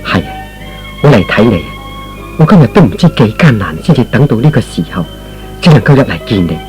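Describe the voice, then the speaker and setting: Man acting a remorseful, expressive young son. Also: mid-range voice, fairly quick, soft background music, lightly acted, low-quality recording. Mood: gentle, remorseful. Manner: earnest, pleading. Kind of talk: Cantonese radio drama acting.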